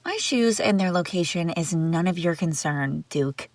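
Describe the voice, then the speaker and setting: A haughty young runaway princess, acted by a woman for an intimate audio roleplay. Her voice sits slightly low for a woman, and she bickers with sarcastic, defensive exasperation. The sound is close and dry, with hardly any room around it. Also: lively intonation, normal speed, no echo. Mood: irritated, defensive. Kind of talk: roleplay audio drama addressed to the listener.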